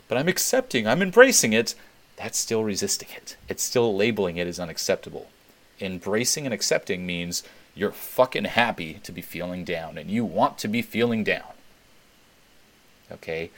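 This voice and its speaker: A young man from Switzerland hosting a webinar Q&A, talking candidly and swearing freely as he repeats points for emphasis. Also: fairly low pitch. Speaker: webinar host. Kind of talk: casual Q&A talk.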